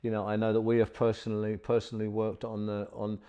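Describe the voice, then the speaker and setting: A man's voice: on the low side, monotone, fast, dark tone, clean tone. A middle-aged man hosting an interview in a studio, talking effusively and rambling with many fillers. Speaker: podcast host.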